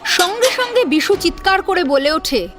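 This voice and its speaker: Woman storyteller, high voice, lively intonation, dramatic scripted narration, soft sitar music behind. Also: normal speed.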